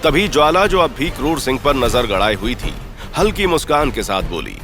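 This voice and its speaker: A man's voice: medium pitch, sing-song, normal speed, over music. A man narrating a scripted audio story in a suspenseful, theatrical style.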